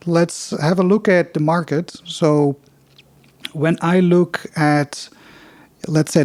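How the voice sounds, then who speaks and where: Non-native podcast host with a Dutch accent, slightly high-pitched, dry recording, relaxed and unscripted. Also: male voice, dry recording. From Netherlands. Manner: loose and unpolished, many fillers and restarts. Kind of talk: casual investing podcast with chart walkthrough.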